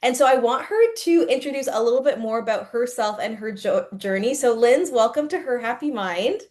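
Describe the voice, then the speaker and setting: A woman's voice: fairly high pitch, lively intonation, normal speed, darkish tone. Chatty female podcast host. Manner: conversational, lots of "like" fillers. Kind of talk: casual podcast hosting.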